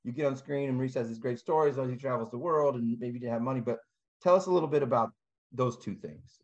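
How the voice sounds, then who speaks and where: A man's voice: mid-range voice, quick pace, highs cut off. A man co-hosting a podcast, asking long, rambling questions full of hedges. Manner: rambling, hedging questions with "kind of" and "you know". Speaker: podcast co-host. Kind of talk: podcast interview.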